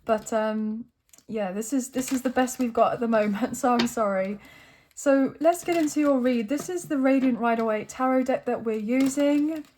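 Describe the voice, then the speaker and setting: A woman's voice, a little high and noticeably croaky and worn from overuse. She talks in an easygoing, chatty way, often tagging her sentences with a quick check-in. Recorded on a phone. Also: a little mellow, slight background noise. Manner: chatty, often adds tag questions like okay and right. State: croaky, tired voice. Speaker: tarot reader. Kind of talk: casual tarot reading to camera.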